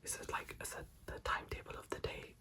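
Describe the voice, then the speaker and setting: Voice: fast talker, bright, forceful tone. A person whispering a personal story in a casual, chatty ASMR style, acting out bits of dialogue. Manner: whispering throughout, acts out quoted exchanges.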